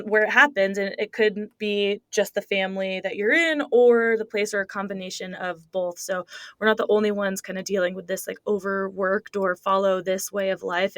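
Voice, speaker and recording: A woman's voice: mid-range voice, a bit bright, no echo. Chatty woman host, dry close sound. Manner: casual, rambling, heavy use of "like". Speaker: podcast host. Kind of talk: casual interview chat.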